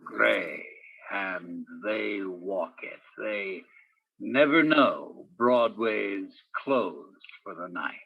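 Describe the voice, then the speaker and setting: A man's voice: on the low side, lively intonation, extremely slow, creaky, phone-quality sound, exaggerated voice. An actor playing a world-weary police detective who narrates his case in clipped, poetic hardboiled phrases, in the style of 1950s radio noir. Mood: brooding, poetic.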